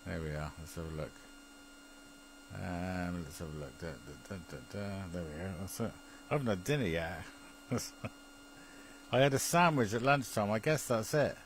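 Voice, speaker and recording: A man's voice: sits low, normal speed, noisy background. A British man in a rambling, chuckly casual chat, often muttering to himself as he operates an amateur television link.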